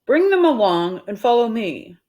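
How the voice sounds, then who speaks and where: A woman's voice: a little high, sing-song, moderate pace, warm tone, muffled recording. A woman voicing an exasperated, bossy grown man in a children's storybook, commanding and emphatic.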